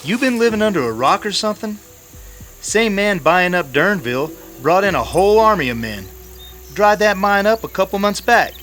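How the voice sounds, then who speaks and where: An actor playing a bitter, disgruntled captive gambler with an in an old-time radio drama. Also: male voice, sits high, very animated, normal speed, a bit bright, faint music behind. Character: bitter, disgruntled male gambler held prisoner, a father.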